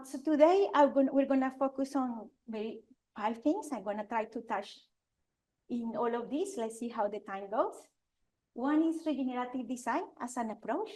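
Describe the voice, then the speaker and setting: This is a woman from Colombia, in her forties, giving a talk to an audience, her voice sitting a touch higher than most. She has a clear Colombian Spanish accent and an earnest, halting delivery, often stopping to restart a phrase or add an um. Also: dark tone, rough recording. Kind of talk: conference presentation. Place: lecture venue with audience.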